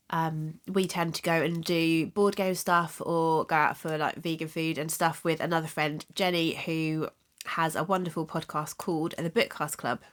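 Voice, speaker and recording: A woman with a cold, sounding bunged up and a bit hoarse, chatting casually as host of her own book channel. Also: on the low side, a bit bright. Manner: chatty, rambling, with frequent restarts and fillers.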